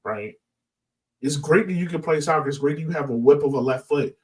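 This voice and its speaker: A man's voice: on the high side, a little fast, a little mellow. A man hosting a podcast in a casual, conversational style, explaining his points and checking in as he goes. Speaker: podcast host. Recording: voice distant from the microphone.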